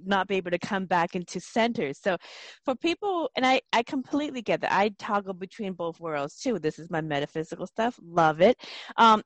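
A woman hosting an interview, asking reflective questions in a relaxed, casual way. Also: mid-range voice, no room sound. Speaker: podcast host.

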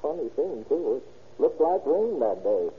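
An actor playing a fussy, talkative neighbor in an old-time radio drama, stammering and folksy, easily flustered. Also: male voice, mid-range voice, very animated, normal speed, slight background noise, lightly acted. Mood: rambling, folksy. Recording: tinny band-limited old radio recording.

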